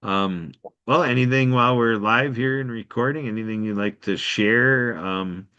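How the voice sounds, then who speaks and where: A man's voice: mid-range voice, normal speed, bright, forceful tone, clean tone, dull audio. A man co-hosting a live stream in an easygoing, laughing way. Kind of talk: casual live-stream chat.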